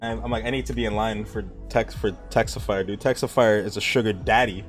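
A man's voice: on the low side, brisk, faint music behind. Casual young male streamer, rambling. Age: young adult. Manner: rambling, swearing, laughing, with animated bursts. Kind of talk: casual live-stream chat reading viewer messages. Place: dim room at a desk.

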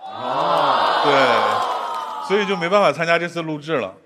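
A man's voice: fairly high pitch, animated, unhurried. A man in his thirties performing as the exasperated straight man of a stand-up comedy duo on a large studio stage. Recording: noisy studio stage with loud crowd.

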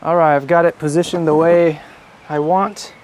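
A man's voice: a little high, level tone, normal speed, darkish tone, slight background noise. A man giving scripted how-to narration as a DIY host, in a plain-spoken, instructional manner.

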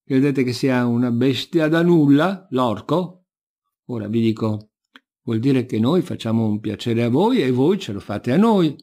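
Man reading as a cautioning, husky old-tale prior. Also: mid-range voice, expressive, measured pace, dark tone. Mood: warning. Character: cautioning male monastery prior, bossy but helpful. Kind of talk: storybook reading.

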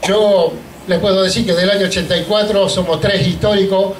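A man's voice: sits high, steady tone. A middle-aged Argentine man, a union leader, giving a grateful, emotional speech at an inauguration into a handheld mic in a large hall. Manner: emotional, grateful, halting phrasing. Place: large event hall, indoors. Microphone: handheld microphone on a stand. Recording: echoey hall with faint noise.

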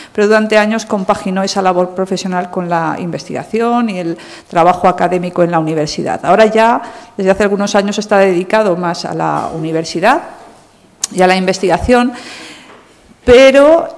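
A woman with a Spain accent giving a formal introduction as seminar host, pausing mid-phrase, at a desk microphone. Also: mid-range voice, darkish tone. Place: university lecture hall, seated at a panel table. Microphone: desk gooseneck microphone. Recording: reverberant hall, long echo.

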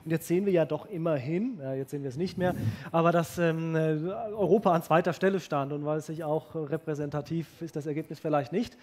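A man's voice: a little high, steady tone, fairly quick, hardly any pauses, darkish tone. A middle-aged man moderating a stage panel, asking long questions with frequent hesitations. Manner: hesitant questioning, many fillers. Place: stage of a lecture hall. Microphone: handheld microphone.

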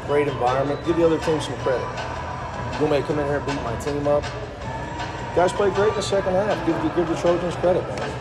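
A middle-aged male coach fielding reporters after a game, blunt and clipped, repeating short lines for emphasis. He speaks close to a bunch of microphones in a small indoor press area, with loud music playing in the background. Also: fairly high pitch, quick pace. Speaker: head basketball coach. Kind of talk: postgame press conference. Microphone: cluster of microphones and phones close up.

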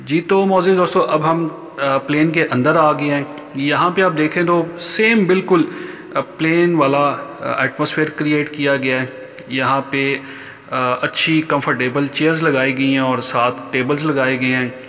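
A man with a Pakistani accent giving an informal site tour as a vlog host, halting and politely formal with viewers. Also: on the high side, fairly even intonation, a bit bright, long reverb tail. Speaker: real estate vlogger and host.

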